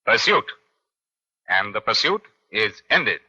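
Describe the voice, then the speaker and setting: A wry, gallant British inspector from a vintage radio crime serial. His voice is piercingly bright and swings widely in pitch, with clipped orders and dry understatement. The old recording is muffled. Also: sing-song, slow pace, breathy edge, full character voice. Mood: brisk, composed. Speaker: lead actor and narrator. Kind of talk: 1950s radio crime drama acting.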